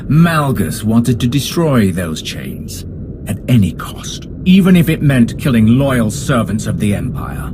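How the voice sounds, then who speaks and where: An actor playing a proud, bitter Imperial officer in a video game, with a formal, sardonic, theatrical delivery. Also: male voice, mid-range voice, very animated, measured pace, noisy background. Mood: bitter disdain. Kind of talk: scripted video game acting. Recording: music behind the voice.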